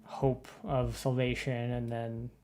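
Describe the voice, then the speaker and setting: A man comments casually on a game he is playing. His voice is dark and relaxed, his remarks brief and reflective. Faint music runs underneath. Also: mid-range voice, slow. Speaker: game playthrough co-host. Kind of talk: casual let's-play commentary.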